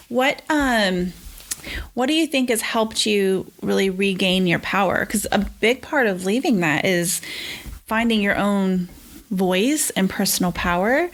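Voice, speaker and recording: A woman hosting a casual podcast conversation, chatty and quick to agree, often backing her guest with affirmations. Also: medium pitch, creaky. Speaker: podcast host.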